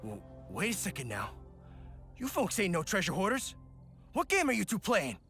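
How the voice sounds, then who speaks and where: Sheepish bumbling crook, folksy and hesitant, bright sharp voice, lively swings in pitch, video game acting, faint music. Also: male voice, normal speed, exaggerated voice. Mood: sheepish, nervous. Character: bumbling, sheepish small-time male apprentice thief.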